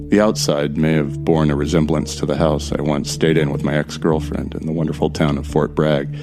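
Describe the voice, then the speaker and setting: A man acting as a wry, uneasy hero of a haunted-house audio drama speaks in an extremely deep voice over clearly audible music. He narrates in first person, confiding and descriptive, with a determined streak and colloquial turns.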